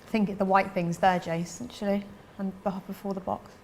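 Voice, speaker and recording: A woman's voice: mid-range voice, level tone, moderate pace, slight background noise. An English woman hosting a live football watchalong, mixing casual chat with running commentary on play.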